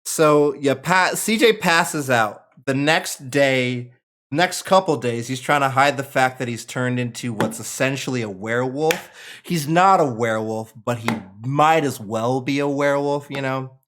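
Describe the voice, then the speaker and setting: A man's voice: medium pitch, a little slow, fairly bright tone. A man narrating stage directions at a table read in an informal, conversational way. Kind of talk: reading screenplay stage directions aloud, with casual asides.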